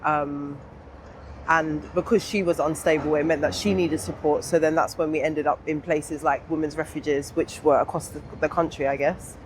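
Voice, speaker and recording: A young adult woman with a southern English accent speaks candidly and informally in an interview, pausing to think as she reflects. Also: sits low, fairly even intonation, a little hiss or hum, rough recording. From England. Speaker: interview guest.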